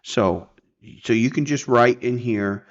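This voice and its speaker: Male tutorial presenter, mid-pitched, informal and explanatory, slightly muffled lo-fi voice-over. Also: slow. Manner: informal, explanatory, checks in with "okay" and "right". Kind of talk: casual screen-share software tutorial.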